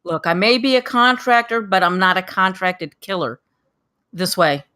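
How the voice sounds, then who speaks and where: Woman as a bright, forceful construction foreman. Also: mid-range voice, normal speed, close to an everyday voice. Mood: uneasy. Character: nervous, bossy male construction foreman. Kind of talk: screenplay table read.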